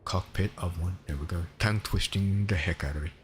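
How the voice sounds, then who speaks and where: A man's voice: deep voice, brisk, slight background noise. A man with a Swedish accent, a Swedish native speaker, chatting casually and rambling as he streams a space game. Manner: chatty, rambling, self-correcting. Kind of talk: casual gameplay commentary.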